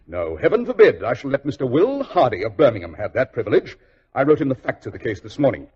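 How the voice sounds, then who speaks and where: An actor playing a shrewd, stern gentleman detective in a precise, theatrical 1940s radio style. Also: medium pitch, big pitch swings, quick pace. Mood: reflective. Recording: dull, husky old radio recording.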